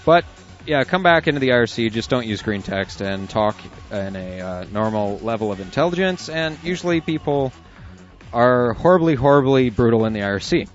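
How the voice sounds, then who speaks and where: Casual male radio co-host, mid-pitched, reads emails with chuckles, dull lo-fi sound with faint music behind. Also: animated, normal speed. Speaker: internet radio co-host reading listener emails. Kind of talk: casual on-air chat.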